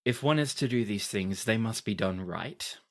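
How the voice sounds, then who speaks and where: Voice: mid-range voice, expressive, moderate pace, lightly acted. A game master as a stern, terse female executor. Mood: stern caution. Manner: formal, terse. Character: stern bald armored female high executor, cautioning.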